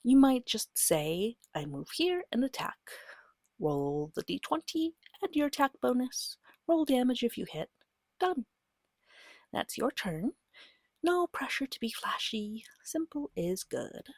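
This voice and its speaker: A woman speaking softly in a gentle, patient ASMR style, often slipping into a whisper as she guides a beginner in short, measured phrases. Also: on the high side, very animated, no echo. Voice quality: soft, breathy, often dropping to a whisper. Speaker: guide teaching a beginner tabletop game. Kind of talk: soft-spoken ASMR, slow guided explanation.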